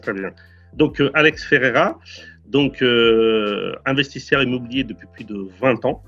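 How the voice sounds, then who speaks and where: A man's voice: medium pitch, unhurried, fairly bright tone, dull audio, soft background music. A man in his forties from Portugal, a real estate investor, answering interview questions earnestly with frequent fillers and repeated words.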